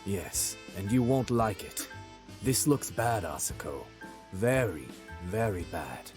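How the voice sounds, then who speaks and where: A man's voice: fairly low pitch, lively intonation, slow pace, over music. Stern crime-boss father, swinging intonation. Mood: grave. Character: stern protective crime-family father, middle-aged man. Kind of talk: visual novel voice acting.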